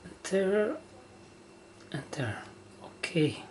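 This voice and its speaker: A man giving a casual, halting step-by-step demonstration of equipment in short phrases, as a hobbyist. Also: high voice, very animated. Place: indoor room with wooden surface. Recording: noisy handheld camera recording indoors.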